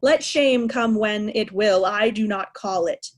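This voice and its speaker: A woman's voice: medium pitch, close to an everyday voice. A woman playing a proud aging king, raging at ungrateful daughters in a declamatory Shakespearean style. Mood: anguished restraint. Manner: declamatory, deliberate, anguished. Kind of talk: Shakespearean reader's theatre acting.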